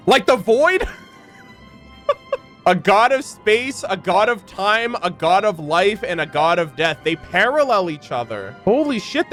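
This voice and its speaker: Guy streamer with a high voice doing animated reaction stuff, reads out then comments, bit of music behind. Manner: animated, exclamatory, swears freely. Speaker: streamer reacting to a video game's lore. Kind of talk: excited reaction commentary, reading aloud and commenting.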